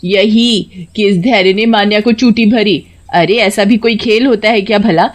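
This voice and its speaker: A spiteful, scheming grandmother from a horror cartoon , played by a woman. She speaks dramatically in a medium-pitched voice and sounds ready to scold or accuse. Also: moderate pace, natural voice. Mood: scolding, accusing. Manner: dramatic, scolding, wailing when feigning hurt. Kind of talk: cartoon story voice acting.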